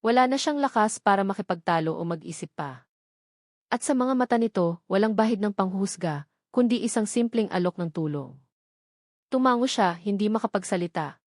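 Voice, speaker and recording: Female Filipino audiobook narrator, mid-pitched, dramatic storytelling delivery, close dry sound. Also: darkish tone, dry recording.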